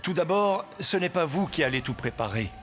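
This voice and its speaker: An actor playing a scheming, flattering male henchman, speaking formally and persuasively in a French-dubbed cartoon. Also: fairly high pitch, fairly quick, faint music behind, close to an everyday voice. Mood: grandly flattering.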